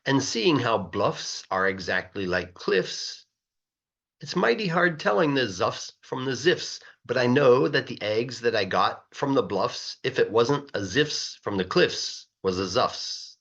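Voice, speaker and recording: A man narrates a rhyming children's storybook with a highly animated voice of medium pitch. His delivery rises and falls in a sing-song rhythm, with key words stretched for emphasis. The recording sounds a little dull and lo-fi. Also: a little slow. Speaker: English teacher reading a children's story aloud.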